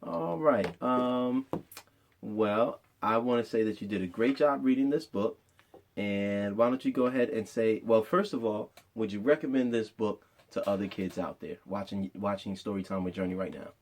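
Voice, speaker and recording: A man's voice: lowish voice, moderate pace, a little hiss or hum. A man chatting casually with a child as a father and host, asking simple questions in an encouraging way. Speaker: father interviewing his child on a storytime show.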